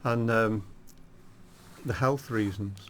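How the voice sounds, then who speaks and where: A man in a choir, a guest in a radio studio, speaking plainly and hesitantly with many pauses. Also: fairly low pitch, slight background noise. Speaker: choir member.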